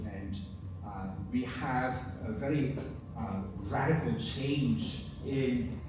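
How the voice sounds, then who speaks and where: A middle-aged man from Belgium whose first language is Dutch, giving a relaxed conference presentation with many fillers. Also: medium pitch, takes it slowly. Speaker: university professor. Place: large conference hall. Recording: echoey hall from far off, noisy.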